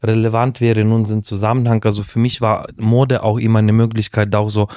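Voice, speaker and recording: Deep-voiced man. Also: little pitch movement, brisk, darkish tone, no room sound, clean studio sound. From Ukraine. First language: Russian. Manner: thoughtful, searching for words with many restarts. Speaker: podcast guest, founder. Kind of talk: casual conversation.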